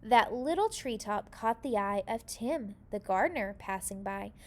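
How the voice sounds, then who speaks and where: A woman reading a children's story aloud in an expressive, animated storytelling manner. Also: medium pitch, a little slow. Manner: expressive, animated delivery with character voices. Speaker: storybook reader.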